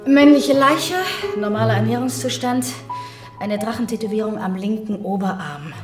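A woman's voice: medium pitch, lightly acted. A woman playing a young, single-minded army pathologist in a radio drama, clinical and matter-of-fact. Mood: clinical, businesslike. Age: 30s.

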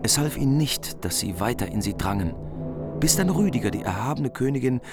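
A man narrating a medieval epic in plain, measured prose. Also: medium pitch, animated. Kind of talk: scripted narration. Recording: music behind.

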